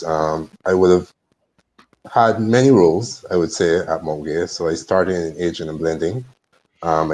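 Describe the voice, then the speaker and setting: A man's voice: deep voice, darkish tone, rough recording. A man introducing himself carefully on an online call. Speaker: long-serving rum distillery employee. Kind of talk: careful self-introduction on a webinar. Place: indoors, on a video call.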